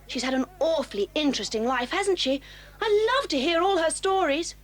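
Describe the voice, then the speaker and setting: A woman's voice: high-pitched, animated, normal speed. A woman playing a snobbish, sly schoolgirl with a prim, haughty manner in a children's audio drama. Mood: falsely sweet.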